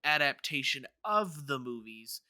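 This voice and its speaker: A man's voice: medium pitch, big pitch swings, unhurried, sharp tone, no room sound, studio quality. A man co-hosting a podcast in casual debate, hesitant and thinking aloud as he talks. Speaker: podcast co-host.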